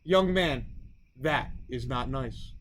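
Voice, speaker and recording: A man's voice: mid-range voice, takes it slowly, a bit bright, a little hiss or hum, voice pushed down. A man voicing an officious, prim female tooth fairy bureaucrat in a storybook, formal and stiff. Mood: grim, prim.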